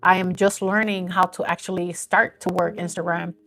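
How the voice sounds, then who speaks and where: Gravelly woman in her fifties chatting live. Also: lowish voice, level tone, normal speed. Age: 50s. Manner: chatty, rambling, earnest. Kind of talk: casual live stream chat. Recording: live stream.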